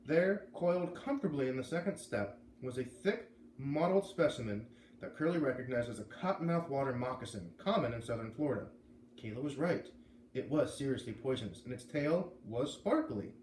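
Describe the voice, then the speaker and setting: Animated man reading aloud, echoey room. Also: medium pitch, normal speed. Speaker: storybook reader. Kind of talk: expressive read-aloud narration. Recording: echoey room, faint background noise.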